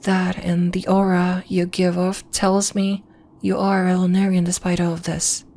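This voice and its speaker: A woman playing a serene, solemn alien moon healer in an ASMR roleplay, speaking soothingly in formal, deliberate phrases. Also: on the low side, completely flat delivery, a little mellow. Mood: serene, congratulatory. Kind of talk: ASMR roleplay, soft scripted monologue addressed to listener.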